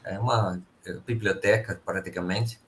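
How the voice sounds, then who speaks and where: A man's voice: deep voice, normal speed, slight background noise. A middle-aged man from Finland with a Finnish accent, speaking Portuguese as a second language and telling stories as an interview guest. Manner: relaxed storytelling, careful non-native phrasing. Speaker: guest, company sales director. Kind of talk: casual interview chat.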